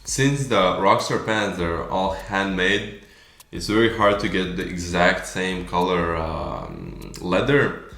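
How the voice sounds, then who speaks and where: A man's voice: low voice, takes it slowly, a bit bright. A twenty-year-old man from Latvia, a native Latvian speaker with a Latvian accent, talking casually and informally in a Q&A. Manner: informal, slangy. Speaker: clothing brand founder. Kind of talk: casual Q&A chat. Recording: echoey room.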